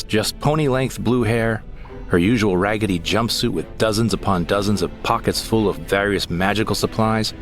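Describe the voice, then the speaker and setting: A man narrating a comic audio drama in the first person, in a wry, theatrical storytelling manner. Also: lowish voice. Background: music.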